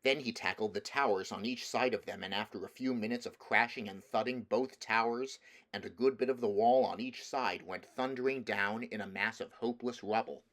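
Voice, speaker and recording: A man's voice: lowish voice, fairly quick, fairly bright tone. A man reading a children's storybook aloud as scripted narration. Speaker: audiobook reader.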